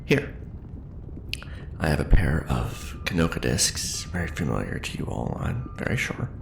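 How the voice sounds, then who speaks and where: A man playing a seasoned, patient and wry craftsman teacher in an ASMR roleplay, speaking in a measured, hushed way with dry humor. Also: very deep voice, big pitch swings, gravelly, roomy sound, background noise, full character voice. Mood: knowing, dry. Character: seasoned male sci-fi fantasy mask-forging craftsman teacher, patient, wry. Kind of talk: ASMR roleplay, instructional monologue.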